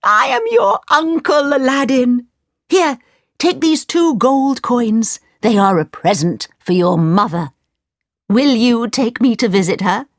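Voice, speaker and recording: A woman voicing a sly old male wizard posing as a kindly uncle in a storybook, with a theatrical, drawn-out delivery. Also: fairly high pitch, expressive, no room sound, studio quality, voice pushed up. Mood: excited, gushing.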